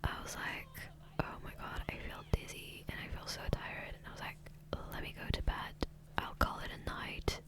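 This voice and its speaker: Whispering woman, soft ASMR ramble. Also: normal speed. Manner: rambling, hesitant, self-interrupting. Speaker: ASMR gaming video creator. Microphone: close, sensitive USB microphone.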